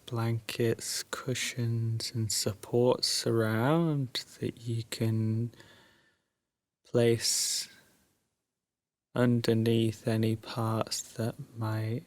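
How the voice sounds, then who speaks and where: Very slow, soft male meditation guide. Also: mid-range voice. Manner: soft, drowsy, soothing, with long pauses. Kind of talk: guided sleep meditation, Yoga Nidra.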